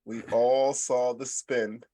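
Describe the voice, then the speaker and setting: A man's voice: mid-range voice, flat delivery, slow, dark tone, no room sound. A man co-hosting a podcast in casual group banter, repeating phrases for emphasis. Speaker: podcast co-host.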